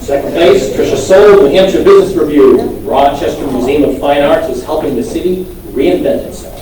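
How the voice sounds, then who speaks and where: A man with a high voice rattles off award categories and winners at a banquet, briskly reading the list and throwing in the odd playful aside. He sounds far from the mic in a very echoey hall. Loud room noise runs under him. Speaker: awards presenter.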